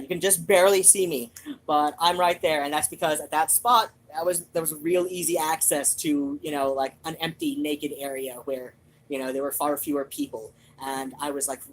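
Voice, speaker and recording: Casting: woman with a low voice for loose, animated, off-the-cuff host chat, sweary and wandering. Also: low-quality recording. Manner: animated, digressive, swears freely. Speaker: host of a reading and chat show. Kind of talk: rambling casual personal chat.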